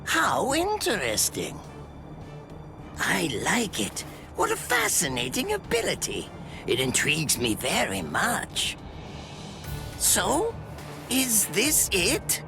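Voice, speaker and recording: A man's voice: high voice, wildly swooping pitch, takes it slowly, long pauses, over music, full character voice. An actor voicing a smug, sadistic mad scientist in an anime game, condescending and theatrical in his drawl. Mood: smug fascination. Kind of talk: anime video game dub acting.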